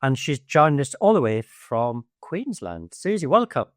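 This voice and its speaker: A man's voice: medium pitch, sing-song, a little slow, dry recording, clean studio sound. A man from the United Kingdom hosting an interview podcast, talking in a conversational way with fillers and restarts. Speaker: podcast host.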